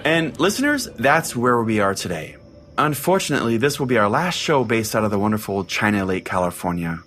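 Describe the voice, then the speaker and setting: A man's voice: fairly low pitch, expressive, fairly quick, fairly bright tone, a little hiss or hum. A man playing a brash, foul-mouthed pastor, telling a comic story in the first person as a podcast host. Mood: announcing. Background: prominent music.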